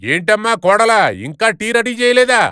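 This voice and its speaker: A high, bright male voice with crisp clarity, playing a bossy middle-aged father-in-law as acted by a man in a cartoon moral story. He is demanding and scolding, a stickler for household custom. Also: animated, brisk, no room sound, clean studio sound. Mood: indignant. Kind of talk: animated moral-story voice acting.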